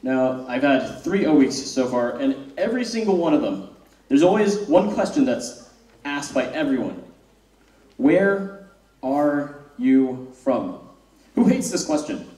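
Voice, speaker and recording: A man's voice: mid-range voice, sing-song, measured pace, warm tone. A young man, giving a wry, self-deprecating spoken-word talk on stage to a live audience. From born in South Korea, raised in the US. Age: young adult. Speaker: student speaker. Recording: roomy hall, mic from far off.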